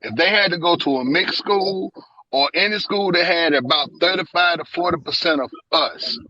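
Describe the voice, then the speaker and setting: A man's voice: fairly high pitch, normal speed, bright, forceful tone, no room sound, highs cut off. A man in his forties on a video-call livestream, speaking emphatically and repeating phrases for weight. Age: 40s. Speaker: live-stream panelist. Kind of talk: heated casual discussion. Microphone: headset on a video call.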